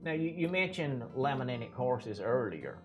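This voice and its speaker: Male interviewer, middle-aged, mid-range voice, conversational questioning, clear guitar backing. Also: medium pitch, sing-song, moderate pace. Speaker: interviewer, company representative. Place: indoor video set at a display table. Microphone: clip-on lapel mic. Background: acoustic guitar music.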